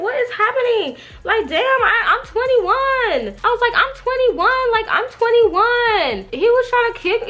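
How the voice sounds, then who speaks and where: A woman's voice: very high voice, fairly bright tone, lo-fi sound. A young woman telling a story in a casual, slangy, gossipy way, acting out bits of dialogue as she goes. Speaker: vlogger. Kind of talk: animated casual storytime chat.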